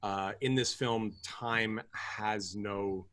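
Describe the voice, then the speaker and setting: A man's voice: on the low side, steady tone, drawn-out words, fairly bright tone. A man co-hosting a film podcast, talking casually with wry sarcasm. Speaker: podcast co-host. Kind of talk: casual film review chat.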